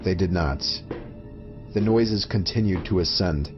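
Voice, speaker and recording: A man's voice: sits low, measured pace. A man reading a scripted first-person horror story as its narrator. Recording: muffled lo-fi audio under music. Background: prominent background music.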